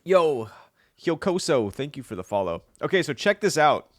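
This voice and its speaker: A man's voice: a little high, sing-song, moderate pace. A man hosting a casual live stream, talking with viewers while he builds something. He sounds congested with a stuffy nose, and he is chatty and laughs often.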